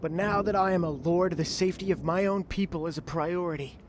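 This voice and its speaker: A man's voice: high-pitched, a little fast, a little hiss or hum, lightly acted. A man playing an earnest, principled samurai lord in a fantasy audio drama. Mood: resolute. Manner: earnest, pleading, steady.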